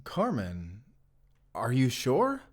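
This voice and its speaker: A man acts out a young male vampire slayer from an audio drama. He is devoted and defiant, with an intense, earnest way of talking and a middle-pitched voice. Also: huge pitch swings, drawn-out words, darkish tone, exaggerated voice. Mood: subdued. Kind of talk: cinematic audio roleplay acting.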